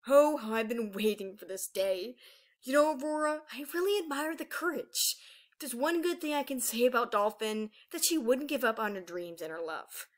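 A woman's voice: on the high side, expressive, normal speed, lightly acted. A woman voicing a menacing old female dragon villain in a fantasy story, taunting and grandiose. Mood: gloating.

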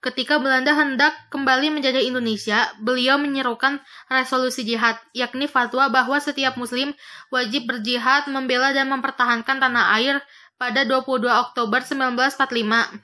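A young woman reading a prepared history text aloud for a class, slowing down carefully over long foreign titles. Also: sits high, steady tone, sharp tone.

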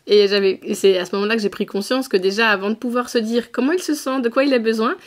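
A woman's voice: a little high, fairly even intonation, fast. A woman hosting a podcast interview, casual and friendly, often laughing as she asks questions. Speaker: podcast host. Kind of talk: conversational interview.

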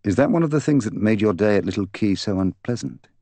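Man reading as a very deep-voiced, probing inspector. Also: animated, warm tone, dry recording. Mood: polite, probing. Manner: measured questioning. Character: polite, probing male police inspector. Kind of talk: audiobook character dialogue.

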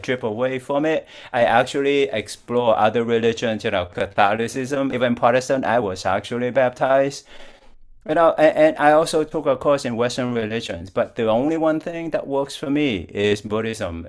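An older man from Vietnam, a Buddhist monk, calmly giving a dharma talk over a video call, speaking slowly and patiently with frequent restarts. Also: mid-range voice. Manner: calm, slow and patient, with restarts. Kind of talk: dharma talk answering questions. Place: plain-walled room at home.

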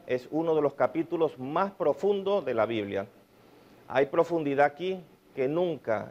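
A man in his forties preaching a sermon while seated at a desk, measured and emphatic, repeating key phrases. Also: fairly high pitch, a little mellow. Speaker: pastor. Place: small church stage with painted mural, indoors. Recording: slightly dull lo-fi sound.

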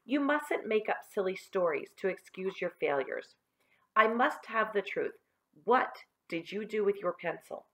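A woman voicing a stern but concerned female schoolteacher in a children's storybook, speaking in a firm, measured way. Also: medium pitch, animated, a bit bright, low-quality recording. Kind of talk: children's storybook read-aloud.

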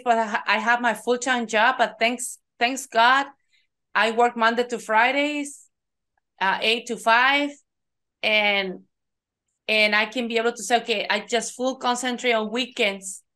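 A woman hosting a podcast interview over a video call, halting and repeating words as she agrees. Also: on the high side, steady tone, pushed and bright. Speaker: podcast host.

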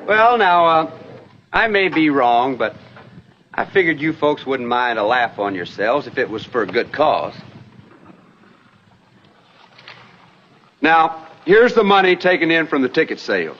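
A man's voice: on the high side, big pitch swings, normal speed, fairly bright tone. An actor playing a genial young American Old West con man, hesitant and folksy, in a 1960s TV western. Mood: earnest, conciliatory. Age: young adult. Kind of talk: 1960s television western acting. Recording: dull old TV film soundtrack, faint noise.

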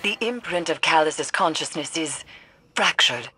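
A woman voicing a grave, mysterious mystic guide in a video game, solemn, measured and cryptic. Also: sits low, animated, bright, forceful tone, heavily acted. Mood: solemn, urgent. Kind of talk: scripted video game acting. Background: game music.

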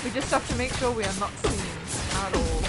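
A woman's voice: on the high side, lively intonation, brisk. A woman streaming an online battle game, chatting with a friend, swearing and laughing in frustration. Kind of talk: casual gaming chat with a friend. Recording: game music loud behind the voice. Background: game music and sound effects.

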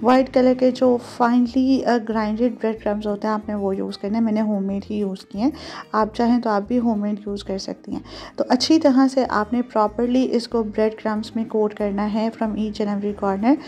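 A woman's voice: medium pitch, little pitch movement, moderate pace, mellow, lo-fi sound. A woman giving conversational recipe instructions as a home cooking host, mixing English words and phrases into her speech.

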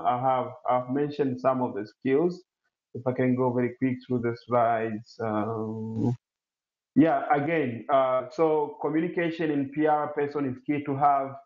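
A man from Tanzania whose first language is Swahili, giving an online presentation and answering questions, halting with many fillers and restarts. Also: mid-range voice. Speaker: webinar presenter, incubator CEO. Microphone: laptop mic on a video call. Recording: roomy, dull webinar audio.

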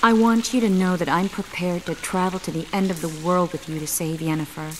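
A woman playing an earnest, caring young sorceress in a video game, speaking in a measured way. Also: lowish voice, fairly even intonation, a little hiss or hum, lightly acted, poor audio. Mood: earnest, devoted.

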